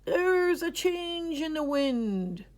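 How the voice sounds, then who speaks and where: A woman voicing an elderly grandfather groundhog in a children's storybook, a proud, folksy announcer proclaiming slowly and loudly. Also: high-pitched, big pitch swings, pitched above the performer's own voice, heavily acted. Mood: grand, announcing. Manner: slow, loud, proclaiming. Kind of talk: children's storybook read-aloud.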